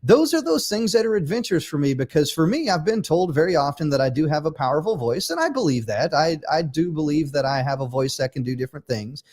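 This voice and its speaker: A man's voice: on the high side, very animated, a little fast, hardly any pauses, darkish tone. A man hosting a livestream talk show, speaking earnestly and emphatically, often repeating himself for effect. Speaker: host.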